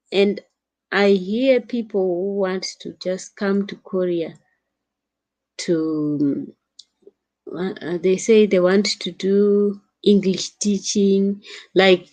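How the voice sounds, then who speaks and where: A woman's voice: medium pitch, darkish tone. A young woman from Africa chatting casually on a late-night live stream, reading viewer comments and calling them sister, laughing often. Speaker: vlogger.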